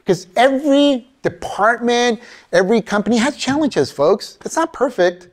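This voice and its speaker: A man's voice: extremely high pitch, moderate pace. A middle-aged man giving a motivational career keynote in a college lecture hall, talking straight to the audience as "folks," recorded from a camera out in the audience. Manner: animated, addresses audience as folks, acts out quoted voices. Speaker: keynote speaker. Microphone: far camera in audience.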